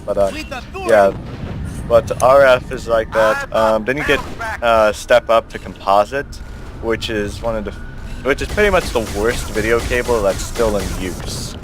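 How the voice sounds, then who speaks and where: A man's voice: fairly low pitch, measured pace, music in the background. A man casually commenting on a video game with friends, rambling and explaining at length with many fillers. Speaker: let's play commentator. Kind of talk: casual gameplay chat. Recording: noisy capture with game sound behind.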